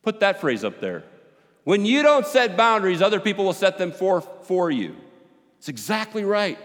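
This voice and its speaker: A man preaching a sermon with a big voice, joking and animated, swinging between loud and quiet. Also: sits high. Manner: animated, joking, with rising and falling volume. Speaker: pastor. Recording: long hall reverb.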